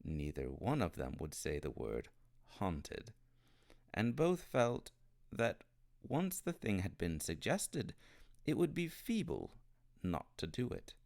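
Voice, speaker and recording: A man reading a children's novel aloud as an expressive storybook narrator. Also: deep voice, slow, gravelly. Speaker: storybook reader.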